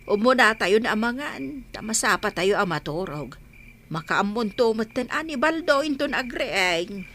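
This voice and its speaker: A woman's voice: fairly high pitch, lively intonation, moderate pace, a bit bright, a little hiss or hum. A woman playing a worried older village mother, fretful and exclaiming, in a radio comedy drama.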